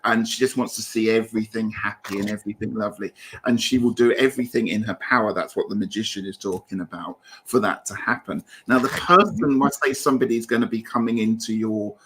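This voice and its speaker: A man with a British accent giving gentle, reassuring tarot readings over a video call. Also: fairly low pitch, quick pace, slight background noise, rough recording. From Britain. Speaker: guest tarot reader. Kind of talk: casual livestream tarot reading.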